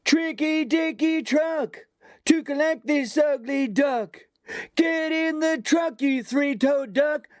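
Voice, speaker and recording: High, jeering schoolyard bully. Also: male voice, slow, no echo, studio quality. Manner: jeering, sing-song taunting. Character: mocking schoolyard bully chanting rap insults.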